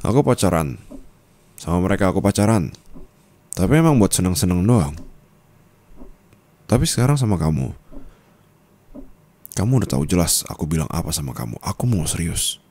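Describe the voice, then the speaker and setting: A young man speaking an ASMR roleplay monologue as a disappointed boyfriend, low and intimate, scolding and at times rising to anger. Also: sing-song, moderate pace, pauses often, a little mellow.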